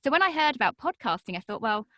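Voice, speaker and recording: Lively woman with a dry close sound. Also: fast, no room sound. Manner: animated, swings high and low in pitch. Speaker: podcast guest, voice and podcast coach. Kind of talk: energetic interview talk.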